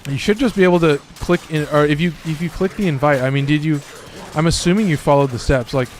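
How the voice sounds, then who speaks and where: A man with a slightly hoarse, raspy voice, giving loose and profane casual commentary while streaming a game. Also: fairly high pitch, fast, a little hiss or hum. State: a little hoarse. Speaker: gaming streamer.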